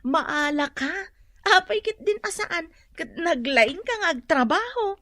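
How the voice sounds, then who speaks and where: A woman's voice: very high-pitched, lively intonation, measured pace, bright, forceful tone, no echo, studio quality, full character voice. An actress playing a poor, long-suffering village wife and mother in an Ilocano radio comedy drama, plaintive and sighing yet fond. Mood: coaxing.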